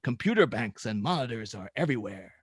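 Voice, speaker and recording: Animated man hosting, dry close sound. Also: medium pitch, fairly quick, slightly rough, no echo. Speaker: host and script narrator. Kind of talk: casual introduction, then reading screenplay directions aloud.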